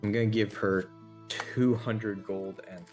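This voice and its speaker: A man's voice: fairly low pitch, steady tone, slow, darkish tone, faint music behind. A man chatting casually as a player in a tabletop game.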